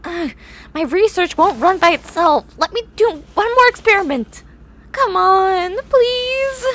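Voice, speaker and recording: A woman playing a grumpy, eccentric young horse-girl scientist in an ASMR roleplay, with formal, haughty, drawn-out wording. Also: very high voice, lively intonation, a bit bright, exaggerated voice. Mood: protesting, whiny. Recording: clear background noise, ambient sound.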